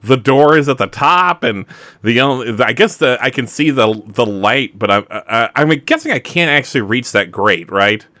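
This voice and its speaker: A man playing a tabletop roleplaying game, chatting casually and thinking aloud in an animated, halting way. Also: medium pitch, no room sound.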